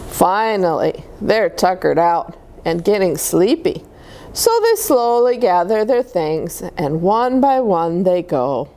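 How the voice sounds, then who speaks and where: A woman reading picture books aloud to young children, with expressive, deliberate phrasing and chatty asides to her listeners. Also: fairly low pitch, a little hiss or hum. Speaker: children's story-time reader at a church.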